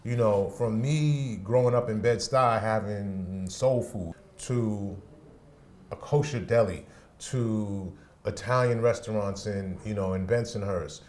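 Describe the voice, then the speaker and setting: Laid-back Brooklyn man with low voice. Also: slow pace, slight background noise. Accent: New York. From Brooklyn, New York. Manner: relaxed storytelling, many "you know" fillers. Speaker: music video show host and party promoter. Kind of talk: casual conversation. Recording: faint room ambience.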